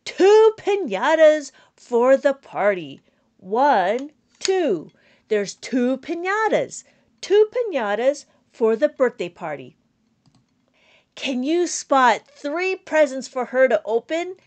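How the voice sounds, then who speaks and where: A middle-aged woman reading a children's counting book aloud as a teacher, animated and sing-song, drawing out words for young children. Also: high-pitched, slow pace. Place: small indoor room at home.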